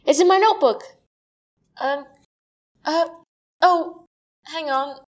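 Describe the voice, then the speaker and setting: A woman's voice: high-pitched, takes it slowly. A woman playing a cheeky, earnest young lad helping investigate in an amateur comedy murder mystery, chatty and colloquial.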